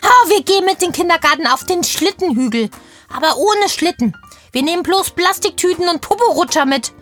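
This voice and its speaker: A woman's voice: very high-pitched, animated, brisk, a bit bright, faint music behind. A woman voicing a cheeky, curious kindergarten girl who chats in childlike slang in a children's audio play. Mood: chatty, cheerful. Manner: chatty, childlike slangy German.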